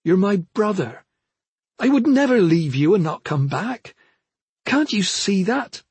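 A man's voice: high voice, big pitch swings, a little slow, a little mellow, breathy edge, no room sound, pitched above the performer's own voice, full character voice. A man voicing a determined young woman in a fantasy audiobook, earnest and imploring as a troubled man's sister. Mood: gentle, imploring. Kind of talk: fantasy audiobook character acting.

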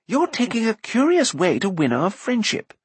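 A man's voice: on the high side, very animated, unhurried, fairly bright tone, husky, no echo, voice pushed up, full character voice. A man playing a flamboyant, scornful bard in a fantasy audiobook, blustering in wordy theatrical phrases. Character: indignant flamboyant male bard, wordy and dramatic.

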